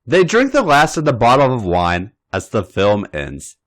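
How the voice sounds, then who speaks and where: A man's voice: mid-range voice, wildly swooping pitch, unhurried, a bit bright. A man hosting a podcast, reading a story aloud in scripted narration. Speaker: podcast host and story reader.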